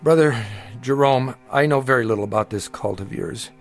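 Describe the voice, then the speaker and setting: A man's voice: medium pitch, moderate pace, faint music behind, natural voice. An actor playing a suspicious, sarcastic male traveller in a television drama, arguing with theatrical emphasis. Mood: insistent. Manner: argumentative, sardonic, theatrical emphasis. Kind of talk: dramatic television-style acting.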